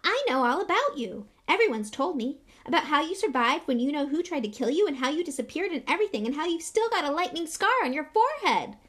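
A woman's voice: very high voice, animated, fairly quick, fairly bright tone, pitched above the performer's own voice. A woman voicing a small, eager schoolboy fan in a breathless, gushing manner for a storybook. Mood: excited, eager.

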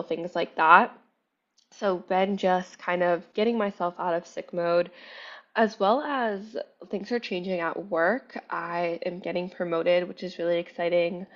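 A young adult woman hosting her own podcast, chatting casually with lots of fillers, her voice slightly raspy while she recovers from illness. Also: fairly low pitch. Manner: chatty, upbeat, many "like" and "you know" fillers. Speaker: podcast host. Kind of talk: casual solo podcast chat. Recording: dull lo-fi sound.

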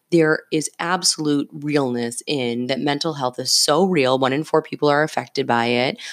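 Creaky-voiced woman podcaster, candid. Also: a bass voice. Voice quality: slightly scratchy early-morning voice. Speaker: podcast host. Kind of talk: casual solo podcast monologue.

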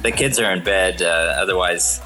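Deep, bright-toned male guest, hesitant and halting. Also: steady tone, faint music behind, low-quality recording. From New Hampshire. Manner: hesitant, many fillers. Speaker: podcast guest. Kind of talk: casual interview chat.

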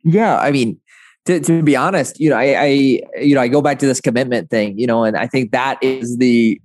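A man's voice: medium pitch, brisk, a little mellow, dry recording. Male interview guest, conversational advice-giver who punctuates points with "right?", close dry recording. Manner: frequent "right?" tag questions. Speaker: interview guest, agency founder.

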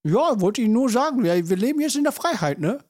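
A man's voice: extremely high pitch, sing-song, fast. A man talking casually as a podcast co-host, telling anecdotes in a slangy, repetitive way. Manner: slangy, colloquial, repeats himself.

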